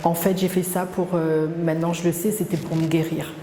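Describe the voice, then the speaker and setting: A middle-aged woman, speaking hesitantly and reflectively as an interviewee, with many pauses and restarts. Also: fairly low pitch, flat delivery, warm tone, a little hiss or hum. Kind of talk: personal testimony interview. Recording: echoey room, long reverb, faint ambience.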